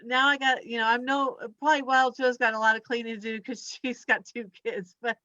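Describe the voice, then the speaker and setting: A woman doing a conversational stand-up comedy set in a halting, scattered, giggly way. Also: fairly high pitch, flat delivery, sharp tone, dry recording, highs cut off. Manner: halting and scattered, giggles through own remarks.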